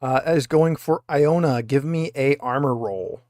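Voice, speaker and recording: A man with a medium-pitched voice works as game master for a tabletop session. He narrates and calls out rules casually, and the sound is dry with no room in it. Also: a little slow, a little mellow, dry recording.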